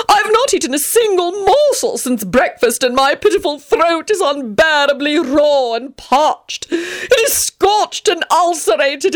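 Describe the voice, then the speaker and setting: A woman's voice: high voice, voice pushed up. A woman voicing Tony, a haughty and melodramatic young male who complains grandly and wails in outrage. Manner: theatrical wailing, grandiose complaints.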